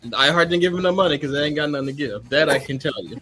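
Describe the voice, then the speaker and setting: A man's voice: on the high side, fast talker, fairly bright tone, soft background music. A man debating casually as a show panelist, circling back and rephrasing his point with lots of "like".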